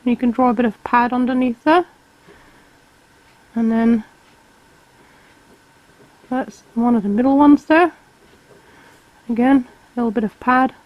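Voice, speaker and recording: A woman, calmly explaining each step of a drawing tutorial while she sketches. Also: fairly high pitch, unhurried, long pauses, no echo, dull audio. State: has a cold, slightly hoarse. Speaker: art tutor.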